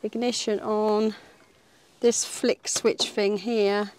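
A woman's voice: medium pitch, flat delivery, takes it slowly, darkish tone, smooth, poor audio. A woman with a British accent chatting casually and playfully from behind the camera as co-host of a vlog. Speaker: co-host interviewing and filming.

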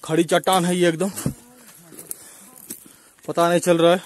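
A man's voice: high-pitched, little pitch movement, a little slow. A young man narrating a casual vlog on a handheld camera outdoors. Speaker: vlogger filming a hill trek. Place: outdoors on an open windy mountainside. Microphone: handheld phone or camera mic. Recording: noisy windy outdoor camera recording.

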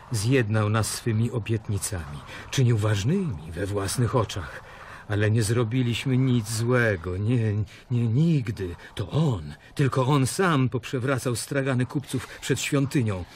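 An actor playing a frightened, humble disciple who pleads and makes excuses in a radio drama. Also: male voice, medium pitch, animated, a little slow, darkish tone, a little hiss or hum, natural voice. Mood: pleading, fearful.

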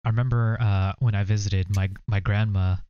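A young man co-hosting a casual reaction chat, explaining things in a relaxed way with lots of fillers. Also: lowish voice, normal speed, smooth, no echo, dull audio. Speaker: co-host.

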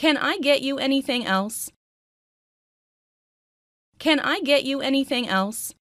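A woman reading short English dialogue lines slowly and clearly, repeating each phrase three times for learners. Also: sits high, lively intonation, fairly bright tone, no echo, clean studio sound. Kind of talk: slow scripted phrase repetition.

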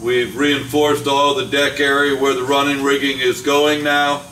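An older man, a boat builder, giving a plain, unhurried walkthrough of a catamaran under construction, with frequent fillers. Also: on the high side, pushed and bright, recorded from a distance. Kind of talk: casual walkthrough tour. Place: large boatbuilding warehouse, indoors. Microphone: camera mic, speaker often several steps away. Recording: echoey warehouse, voice from off-mic, faint hum.

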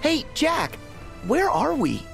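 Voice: sits high, huge pitch swings, takes it slowly, creaky, fairly bright tone, soft background music, full character voice. An actor playing a cheerful, eager teenage boy hero who plays at pirate captain in a video game cutscene. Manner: eager, playful, exclamatory.

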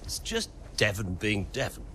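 A self-assured, middle-aged money man in a game cutscene. He speaks in a low, lively voice, coaxing and persuasive, with clear ambient noise behind him. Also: moderate pace. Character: smooth, wealthy father and team backer, coaxing. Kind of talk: cinematic game drama acting.

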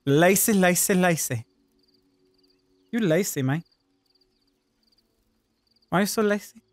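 A playful man teasing his gaming partner with laughing trash talk. His voice sits high and moves around a lot. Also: lively intonation, slow pace, no room sound, soft background music. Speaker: gaming channel host. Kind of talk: casual gameplay chat.

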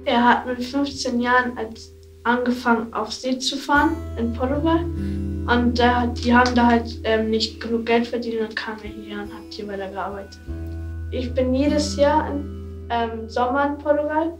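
A woman's voice: fairly high pitch, fairly even intonation, normal speed. A fifteen-year-old girl from Germany narrating a documentary voice-over in a simple, slightly hesitant way. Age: teens. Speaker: youth video reporter. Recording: roomy, distant, music behind.

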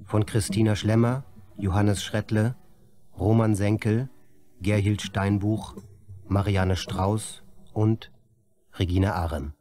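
An actor as a solemn announcer reading the title and credits of a radio play. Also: male voice, fairly low pitch, steady tone, slow pace, pauses often, mellow.

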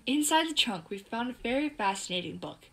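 Clever, bossy young girl, played by a female performer, high voice, confident explainer in an audio drama. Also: lively intonation, brisk. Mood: intrigued. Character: eager, bossy, clever young girl recounting her discovery. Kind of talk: scripted storytelling, audio drama.

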